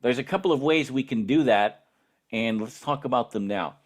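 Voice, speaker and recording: A man giving a spoken tutorial as an instructor, explaining each step in a deliberate, patient way. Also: mid-range voice.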